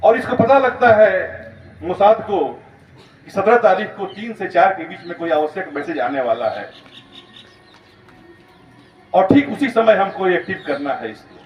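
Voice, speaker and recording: A man's voice: high voice, lively intonation, normal speed, long pauses. Man, school lecture, emphatic storytelling delivery, roomy sound, faint noise behind. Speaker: school principal giving a talk. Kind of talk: motivational school lecture, storytelling about current events. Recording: roomy, distant, muffled, noisy recording.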